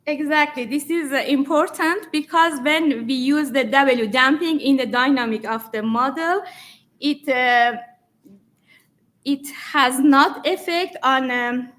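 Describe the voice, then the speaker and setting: High, slow-talking woman answering questions. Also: fairly bright tone. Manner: halting, many fillers, non-native phrasing. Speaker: conference presenter answering questions. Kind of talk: question and answer after a talk. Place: conference room.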